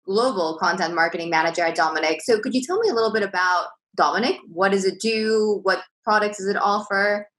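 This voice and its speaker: A woman's voice: mid-range voice, a little fast, few pauses, a bit bright. A woman hosting a marketing podcast, asking her guest questions in an upbeat, eager way. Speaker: podcast host. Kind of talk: interview hosting.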